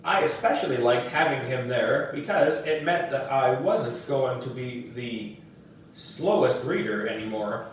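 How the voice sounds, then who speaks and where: A man narrates a children's storybook slowly and deliberately. His intonation is very lively and expressive. The recording is very echoey, away from the mic. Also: medium pitch. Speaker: book reader. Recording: very echoey room, distant mic, faint noise.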